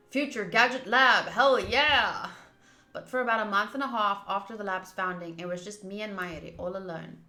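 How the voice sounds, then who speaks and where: A woman streamer doing a game playthrough, reading the text aloud and commenting in an expressive, emphatic way. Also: mid-range voice. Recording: roomy echo, game music behind. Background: soft game music.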